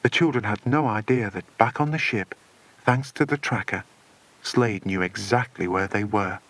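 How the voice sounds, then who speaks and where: A man reading a children's adventure story as a dramatic, expressive audiobook narrator. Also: on the low side, moderate pace, rough recording.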